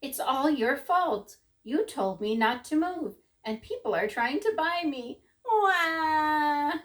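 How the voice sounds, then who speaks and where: A woman voicing a bold, mischievous little girl in a children's story, eager and loud, whiny when upset. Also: high-pitched, animated, slow pace, far from the mic, voice pushed up. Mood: wailing.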